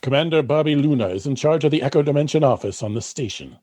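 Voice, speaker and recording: A man's voice: on the high side, animated, fast, dark tone, no echo. Dry-sounding male radio comedy narrator. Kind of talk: scripted comic radio-drama narration.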